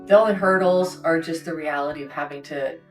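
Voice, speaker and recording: Low-voiced woman from Canada, candid. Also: brisk, low-quality recording. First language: English. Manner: candid, storytelling, conversational asides. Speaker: host. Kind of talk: casual conversation. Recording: faint guitar music behind.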